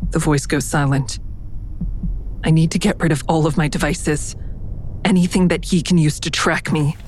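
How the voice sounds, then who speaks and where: A woman's voice: low voice, fairly even intonation, normal speed, darkish tone, slight background noise, natural voice. An actress playing a haunted, wary woman investigator who narrates her own story in an audio drama, terse and confiding. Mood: shaken, wary.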